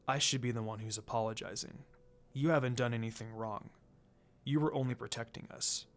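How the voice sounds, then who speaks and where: A reader plays a brooding teenage boy from a fantasy adventure story. He speaks low and gently, in a guarded, protective way. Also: steady tone, brisk, lightly acted. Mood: quiet, protective.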